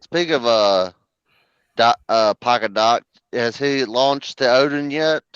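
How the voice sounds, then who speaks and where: A man's voice: mid-range voice, takes it slowly, fairly bright tone, clear, resonant tone, no echo. A man on a podcast panel, an editor, talking in casual livestream chat. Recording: slightly dull livestream audio.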